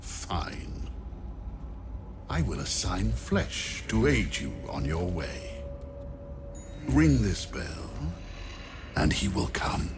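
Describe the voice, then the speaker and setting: A man's voice: sits low, big pitch swings, over music, exaggerated voice. An actor playing an arrogant, cold male necromancer in a video game cutscene, speaking with haughty disdain. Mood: gloating. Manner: haughty, disdainful, slow and deliberate.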